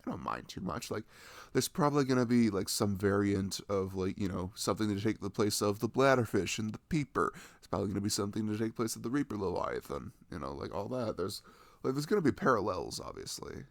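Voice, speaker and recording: A man's voice: fairly low pitch, lively intonation, fairly quick, vocal fry, dry recording. A young adult man chatting casually and enthusiastically about video games in a rambling, slangy way, close to the microphone.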